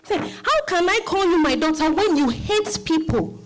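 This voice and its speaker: Indignant mother played by an actress, high and animated, exclamatory, hammering words home; stage-style reading. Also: moderate pace. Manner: exclamatory, repeats words emphatically. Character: indignant, exasperated West African mother.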